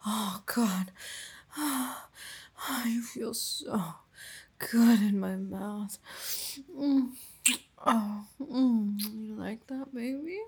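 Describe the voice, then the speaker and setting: A woman murmurs and whispers close and low, drawing out each phrase very slowly. Her voice sits a little high, with a gravelly fry under the whispers. Between lines she hums softly, her tone coaxing and full of praise. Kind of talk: intimate whispered audio roleplay.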